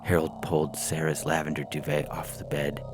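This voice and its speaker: A man reading scripted narration as a story podcast's reader, in a theatrical, deliberate manner. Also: rumbling low, completely flat delivery. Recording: music behind the voice.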